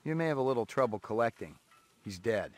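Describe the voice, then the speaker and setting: A man's voice: medium pitch, animated, a little fast, close to an everyday voice. A man voicing a terse police detective in a video game, asking blunt matter-of-fact questions.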